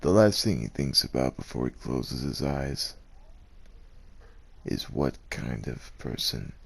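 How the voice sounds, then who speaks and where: A male narrator reads a scripted ASMR tale. His voice is exceptionally deep and husky, and he takes it at a slow pace, telling the story to the listener as its main character. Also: expressive, a bit bright. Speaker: narrator, voice actor.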